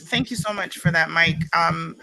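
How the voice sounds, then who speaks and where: A middle-aged woman from Jamaica, speaking as a panelist on a video call with quick, animated storytelling. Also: fairly low pitch, vocal fry, low-quality recording.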